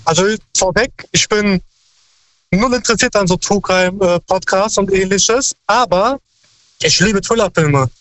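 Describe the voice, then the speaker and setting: A high-voiced man calling in to a radio show, laying out his points in long, earnest, lecturing sentences. The sound is dry and close, with no room around it. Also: unhurried, a bit bright, dry recording, low-quality recording. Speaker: caller. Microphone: phone line.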